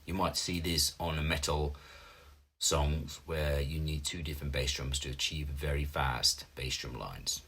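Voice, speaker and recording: A man's voice: very deep voice, level tone, measured pace, a bit bright, breathy edge. A man in his thirties with a Finnish accent, patiently explaining drum notation step by step as a teacher, with small slips in his English. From Finland. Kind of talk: instructional explanation.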